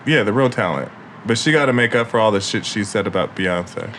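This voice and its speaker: A man's voice: fairly low pitch, expressive, brisk, a bit bright, a little hiss or hum. A man co-hosting a podcast in casual chat, joking and cursing freely. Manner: joking, profane, laid-back. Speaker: podcast co-host.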